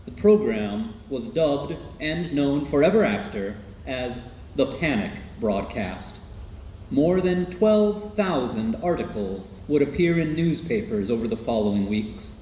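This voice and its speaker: Dramatic male radio narrator, lively intonation. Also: mid-range voice, a little slow. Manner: dramatic, announcer-like. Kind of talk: scripted documentary narration for radio. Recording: echoey, faint background noise.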